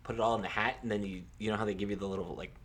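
Low-pitched young American man chatting. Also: steady tone, rapid-fire, a bit bright, breathy edge. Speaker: podcast co-host. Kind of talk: casual sports chat.

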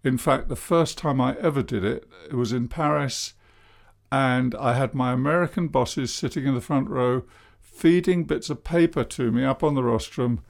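A man, speaking as a hypnotherapist and public speaker in a measured, self-deprecating monologue about his flat voice. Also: medium pitch, gravelly. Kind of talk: reflective monologue.

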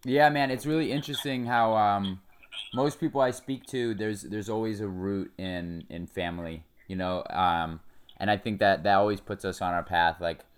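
Man, young adult, podcast host, lowish pitch, smooth resonant voice, friendly easygoing chat. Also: normal speed. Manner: friendly, affirming, hesitant restarts. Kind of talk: casual interview chat.

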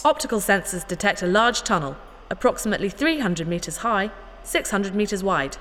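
A woman's voice: mid-range voice, animated, a little fast, a bit bright, close to an everyday voice. A woman playing a small, curious, childlike robot in a sci-fi audio drama, speaking in formal literal phrases and calling itself by name. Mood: polite, literal. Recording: reverberant cave effect with faint noise.

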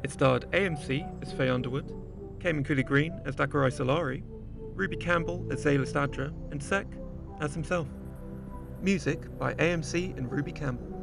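A man announces the scripted end credits of a podcast over clear music. His voice sits a little high for a man and has a marked creak.

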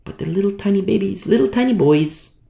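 A male pastor preaches emphatically, repeating phrases and swinging from a hush to a loud push. His voice sits a little high, gravelly and full of lively rises and falls. A roomy echo follows him. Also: a little fast. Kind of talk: sermon.

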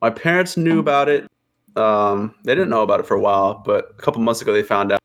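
A man's voice: fairly low pitch, quick pace, fairly bright tone. Laid-back slangy man in his twenties. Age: 20s. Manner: laid-back slangy banter, says bro a lot. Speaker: podcast guest. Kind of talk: casual chat.

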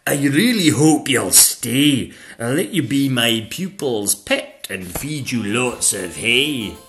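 Man voicing a loud, commanding dragon schoolmistress. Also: medium pitch, expressive, voice pushed up. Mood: proud, approving. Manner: emphatic, drawn-out schoolteacher delivery. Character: female dragon teacher, loud, commanding, encouraging.

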